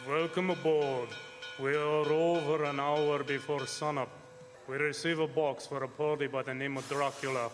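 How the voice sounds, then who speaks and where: A man's voice: fairly high pitch, little pitch movement, normal speed, close to an everyday voice. A man playing a businesslike foreign ship's captain in a live radio drama. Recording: reverberant stage hall, faint music.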